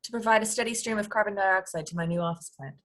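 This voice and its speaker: A woman's voice: mid-range voice, fast, a bit bright, close to an everyday voice. A woman playing a blunt, easily bored alien overseer in a comedy table read. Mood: condescending. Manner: blunt, dismissive.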